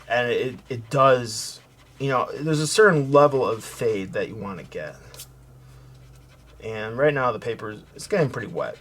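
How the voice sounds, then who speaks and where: Soft-spoken man talking through his coloring. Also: medium pitch, breathy edge. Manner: relaxed, thinking aloud while working, with fillers and self-corrections; soft-spoken, hoping to sound calming. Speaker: art tutorial host. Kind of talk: casual coloring tutorial narration.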